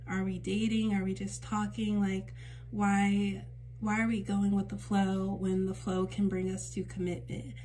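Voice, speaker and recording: A woman with a temporarily raspy voice giving a casual spiritual card reading to camera at home, gentle and reassuring with frequent fillers. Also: mid-range voice, slight background noise, rough recording. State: raspy voice for a few days. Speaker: tarot reader and channel host. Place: indoors at a table in a home room.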